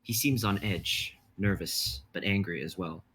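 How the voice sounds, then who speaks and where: Low-voiced young man reading directions. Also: unhurried, fairly bright tone, a little hiss or hum. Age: young adult. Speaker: stage direction reader.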